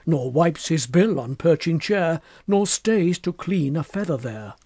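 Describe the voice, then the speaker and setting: Slow, lilting man reciting poetry. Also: on the high side, expressive, dark tone, breathy edge, dry recording, studio quality. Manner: measured, deliberate phrasing. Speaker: poetry reader. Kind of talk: expressive literary poetry recitation.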